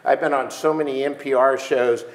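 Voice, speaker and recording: A man's voice: mid-range voice, fairly even intonation, normal speed. Man, 60s, conference panel guest, emphatic, restarts a lot, lapel mic, roomy. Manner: emphatic, gestures broadly, many restarts. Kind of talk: conference keynote conversation. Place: stage in a large room, seated in armchair.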